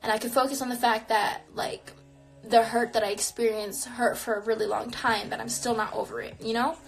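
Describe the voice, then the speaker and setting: A woman's voice: fairly high pitch, level tone, fairly quick, creaky, faint music behind, poor audio. A woman in her twenties chatting casually on a live stream in a small bedroom, answering viewer questions and filling her talk with "like.". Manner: chatty, frequent "like" fillers, reflective. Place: small bedroom with neon lights, indoors. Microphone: phone camera close to face.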